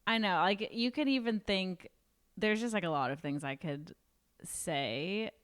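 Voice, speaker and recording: A woman's voice: medium pitch, a little slow. A young woman in her twenties co-hosting a podcast, chatting casually and reflectively and saying "like" often. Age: 20s. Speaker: podcast co-host. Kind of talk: casual chat.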